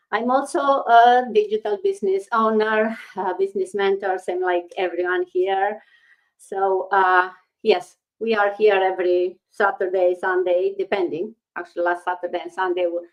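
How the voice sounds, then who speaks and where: A woman from Romania hosting a casual live stream, chatting loosely with fillers and restarts. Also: mid-range voice. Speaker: host. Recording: dull lo-fi stream audio.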